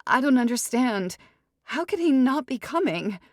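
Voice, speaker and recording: A frantic young bride who has been left at the altar, voiced by a woman narrator. Her voice rides high and breathless, pleading and stubborn. It is audiobook comedy dialogue in a very dry recording. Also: sing-song, normal speed, no room sound. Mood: bewildered.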